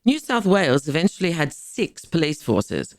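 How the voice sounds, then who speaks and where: A woman reading a scripted history podcast as host, in a measured, explanatory way, posing questions to the listener. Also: deep voice, very animated, darkish tone, no room sound.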